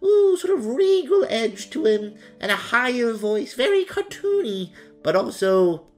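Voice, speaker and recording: A man's voice: extremely high pitch, sing-song, unhurried, a little mellow, faint music behind, pitched above the performer's own voice, exaggerated voice. A man voicing a regal, devious, cutesy cat-like anime lord in a haughty, cartoony manner. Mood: haughty, mischievous.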